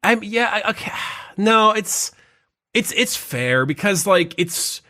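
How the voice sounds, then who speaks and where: A man's voice: sits high, animated, slow, bright, forceful tone. A man co-hosting a casual podcast, slightly nasal as he recovers from a cold, rambling with many restarts. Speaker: podcast co-host.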